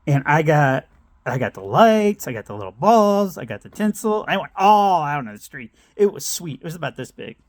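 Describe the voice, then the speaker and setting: A man's voice: a little high, sing-song, moderate pace, dry recording. A middle-aged man telling a meandering personal story, interrupting himself and laughing at his own tangents. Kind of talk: rambling personal anecdote.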